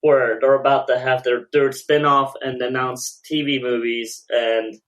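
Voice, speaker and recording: A man's voice: mid-range voice, little pitch movement, a little slow, fairly bright tone. A man co-hosting a podcast, chatting casually in an informal, slangy way. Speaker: podcast co-host. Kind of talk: casual chat among comics fans.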